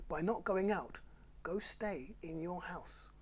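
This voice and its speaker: A man voicing a calm, dutiful female nurse in a children's storybook, gently instructive, with a husky tone. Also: high-pitched, takes it slowly, heavily acted.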